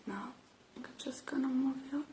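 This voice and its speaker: A woman doing a gentle, unhurried ASMR roleplay, speaking softly and slipping into breathy whispers close to the microphone. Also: high voice, noisy background. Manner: gentle, unhurried, murmuring to the listener. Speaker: ASMR creator playing a robot repair technician.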